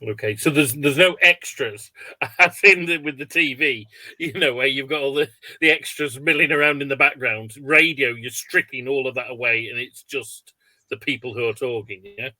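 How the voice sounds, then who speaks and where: A man's voice: mid-range voice, normal speed, sharp tone, no room sound. A man hosting a webinar, putting questions to his guest in an informal, chatty way. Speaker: webinar host. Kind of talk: casual interviewing, relaying audience questions.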